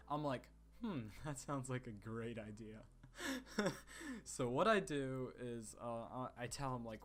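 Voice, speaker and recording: Teen guy from czechia rambling through a story time, lots of um and like, mid pitch voice on a gaming mic. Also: a bit bright. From Czech Republic. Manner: rambling with many fillers and restarts. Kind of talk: casual story time over gameplay.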